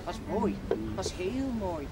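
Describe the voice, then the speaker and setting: A woman's voice: lowish voice, sing-song, slow. An actress playing a chatty, forthright countrywoman who gossips bluntly and with plenty of exclamation. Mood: friendly, teasing. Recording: noisy old TV drama soundtrack.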